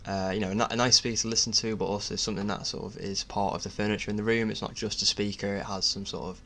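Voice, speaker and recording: A man narrating his own DIY project in a casual voice-over, speaking in a halting, self-effacing way. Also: fairly low pitch, little pitch movement, a bit bright. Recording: clear background noise.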